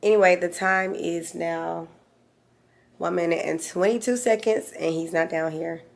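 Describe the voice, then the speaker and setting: Hoarse chatty woman, low voice. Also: fairly even intonation, unhurried. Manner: chatty and teasing. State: hoarse from a lingering sore voice. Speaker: vlogger. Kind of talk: casual vlog chat to camera.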